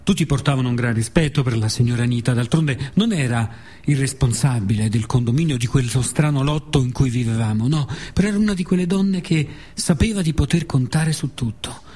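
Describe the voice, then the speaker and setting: Husky Roman man telling a theatrical story. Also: medium pitch, mellow. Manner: dramatic pauses, repeated phrases, short fragments. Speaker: actor and author of a radio monologue. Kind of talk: theatrical scripted storytelling monologue.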